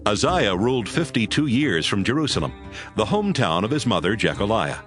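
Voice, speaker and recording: A man's voice: lowish voice, big pitch swings, fairly quick, fairly bright tone. A man reading scripture as scripted narration for an audio Bible. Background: music.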